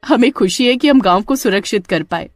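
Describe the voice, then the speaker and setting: Woman as cautious young heroine of an audio storybook: earnest, dramatic, lively pitch, light music bed. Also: brisk, no echo, clean studio sound, close to an everyday voice. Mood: proud.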